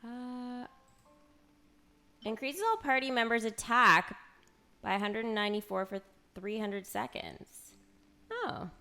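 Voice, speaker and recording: A woman's voice: a little high, fairly even intonation, takes it slowly, pauses often, bright, forceful tone. A woman streaming a video game, chatting casually and playfully as she reacts aloud and banters with viewers. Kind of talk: casual livestream chat over gameplay.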